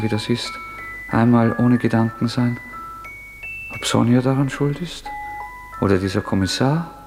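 An actor playing a brooding, feverish young murderer in a German radio play, halting and introspective, drifting into whispers. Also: male voice, medium pitch, vocal fry, close to an everyday voice.

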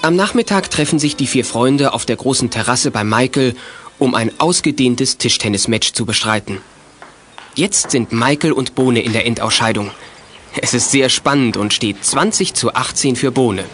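A man's voice: mid-range voice, normal speed, fairly bright tone, a little hiss or hum. A man narrating a children's audio drama as scripted storytelling.

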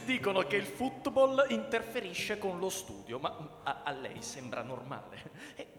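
An actor playing an incredulous, agitated school coach in a radio drama, speaking haltingly. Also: male voice, high-pitched. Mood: exasperated. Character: worried, incredulous adult school sports coach. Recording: echoey hall, music underneath. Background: music.

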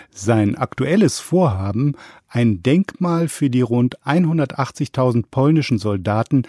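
A male radio reporter reading a scripted portrait narration in a composed, even manner. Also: medium pitch, dry recording, clean studio sound.

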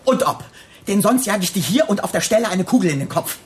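An actor playing a volatile, crude criminal in a German radio drama, agitated and blustering. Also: male voice, high-pitched, expressive, quick pace. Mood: impatient, threatening. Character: volatile, threatening male criminal on the run, defensive and crude. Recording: faint background noise.

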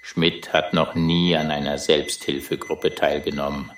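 A man playing Schmidt, a gruff, sardonic space traveler in an audio drama, with a dry delivery. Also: rumbling low, flat delivery, unhurried, slight background noise. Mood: dry, scornful. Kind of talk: scripted audio drama acting. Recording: echoey room.

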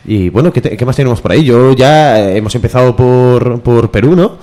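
A man's voice: mid-range voice, expressive, brisk, mellow. A man co-hosting a radio show in casual, chatty banter.

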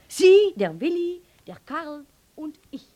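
A woman's voice: high-pitched, very animated, slow pace, warm tone, slight background noise, heavily acted. An actress playing a nostalgic, chatty German woman from a Berlin hotel family in a radio drama, telling stories with German phrases mixed in. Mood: cheerful. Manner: storytelling, peppers speech with German phrases.

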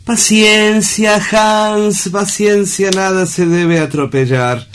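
An actor playing a calm, philosophical sanatorium doctor in a theatrical radio drama, speaking in a measured, clinical and pompous way. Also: male voice, high-pitched, smooth. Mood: calm, patient.

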